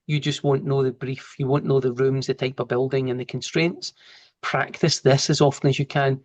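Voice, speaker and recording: A man's voice: medium pitch, normal speed, muffled recording. Scottish man with a broad Glasgow accent. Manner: relaxed, informal, talks through steps as he works. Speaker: software trainer. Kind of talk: live online training, explaining and answering questions.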